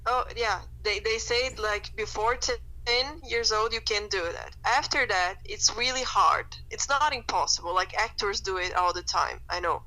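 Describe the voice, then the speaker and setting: A woman's voice: mid-range voice, normal speed, hardly any pauses, pushed and bright, poor audio. A young woman, a guest chatting thoughtfully on a podcast with many fillers. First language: Portuguese. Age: young adult. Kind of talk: casual chat.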